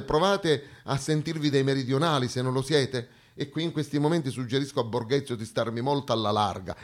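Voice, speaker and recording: A man performing an emphatic theatrical monologue, with rhetorical flourishes and dramatic pauses. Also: medium pitch. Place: theatre stage, live performance.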